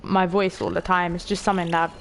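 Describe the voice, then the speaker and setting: A woman in her late teens with a stuffy nose, casually narrating a game stream, chatty and excitable, swearing offhand and talking to her viewers. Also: fairly low pitch, brisk, vocal fry, a little hiss or hum. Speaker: gaming streamer. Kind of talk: casual let's-play commentary.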